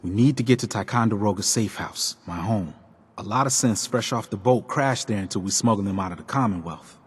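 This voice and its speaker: A man playing a friendly, chatty safe-house agent in a video game, breezy and informal, with a slightly husky voice. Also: on the low side, a little fast, big-room sound. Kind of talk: video game character dialogue.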